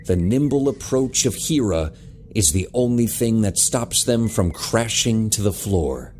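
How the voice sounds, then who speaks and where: A man narrating a scripted audio drama in a measured, dramatic way. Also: on the low side, expressive, a little mellow, slight background noise.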